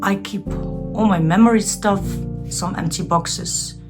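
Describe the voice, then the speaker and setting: A woman in her forties from the Netherlands with a Dutch accent, giving a shy, halting, earnest tour of her home art studio. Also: medium pitch. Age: 40s. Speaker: vlogger. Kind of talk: casual studio tour chat. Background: guitar music.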